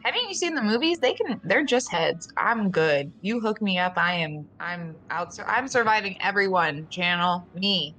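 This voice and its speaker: A woman's voice: mid-range voice, lively intonation, moderate pace, few pauses, pushed and bright, faint music behind, rough recording. A woman playing in a tabletop game, chatting casually with a playful, mock-superior tone. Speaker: player. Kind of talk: casual chat.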